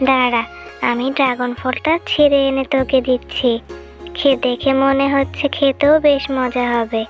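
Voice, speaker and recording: A woman voicing a humble, gentle widowed mother fox in a children's cartoon story. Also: high-pitched, flat delivery, normal speed, soft background music, natural voice. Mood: soothing.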